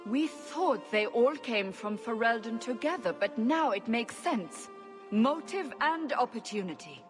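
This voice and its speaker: A woman's voice: fairly high pitch, animated, measured pace. A woman playing a stern, formal interrogator in a fantasy game, insistent in her way, with music behind. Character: stern, probing female interrogator. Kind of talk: cinematic fantasy game dialogue.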